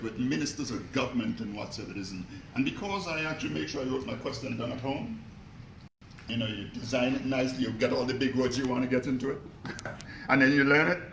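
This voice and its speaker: A gravelly-voiced man gives an energetic motivational talk to a live audience, asking them questions as he goes. His voice has a rough, creaky edge. The room is echoey, with a faint hiss underneath. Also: mid-range voice. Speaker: motivational speaker.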